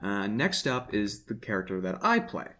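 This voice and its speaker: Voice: low voice, big pitch swings, moderate pace, pushed and bright. A host chatting casually and unscripted, prompting others with questions while presenting things for them to react to. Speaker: host showing characters and asking for guesses.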